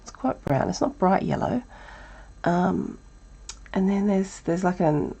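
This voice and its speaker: A woman with an Australian accent, teaching a relaxed live drawing lesson while thinking aloud, her voice croaky and breathy from a chest cold. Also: medium pitch, slow pace, muffled recording. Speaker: art teacher.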